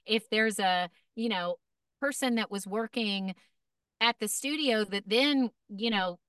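A woman voice actor chatting as a podcast guest, telling stories in an animated way with many restarts and fillers. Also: mid-range voice, a little slow, clear, resonant tone, no echo, clean studio sound, far from the mic. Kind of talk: casual podcast storytelling.

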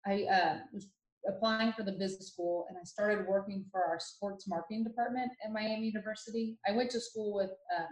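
A young woman from Ohio, a podcast guest telling her story in a chatty, animated way with many fillers and restarts. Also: mid-range voice, fairly quick. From Ohio, USA. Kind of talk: casual storytelling interview. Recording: roomy echo.

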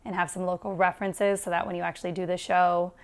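A woman's voice: lowish voice, little pitch movement, quick pace. A young adult woman from the United States, a stand-up comedian guest chatting casually and laughing often at herself in an interview.

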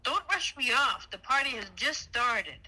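An eager party guest in a homemade radio play, read by a woman, with a piercingly bright voice. The lines come out stiffly, in short amateur read-out phrases. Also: high voice, lively intonation, unhurried, husky, voice pushed up, full character voice, poor audio. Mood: cheerful. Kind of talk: amateur scripted line reading.

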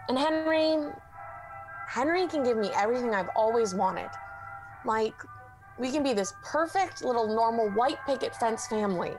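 A sardonic young woman in denial, played by a woman with a Canadian accent in a comedy radio play. She deflects with sarcasm and mutters under her breath. Her voice sits fairly high, with faint music behind. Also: lively intonation, moderate pace, lightly acted, rough recording. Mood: frustrated. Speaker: therapy patient. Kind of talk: student radio comedy acting.

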